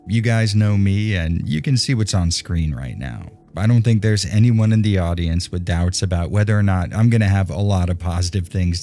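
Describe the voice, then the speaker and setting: Very deep-voiced man narrating a game review. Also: warm tone. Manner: wry, tongue-in-cheek humour. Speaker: video game reviewer and channel host. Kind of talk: scripted review narration with casual, conversational phrasing. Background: background music.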